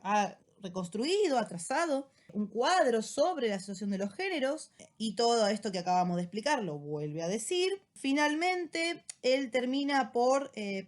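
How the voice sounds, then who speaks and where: A woman teacher with an Argentine accent, explaining a text in a relaxed lecture style and often checking in with short tag questions. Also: on the high side. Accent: Argentine Spanish. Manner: casual rioplatense slang. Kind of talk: recorded lecture summary.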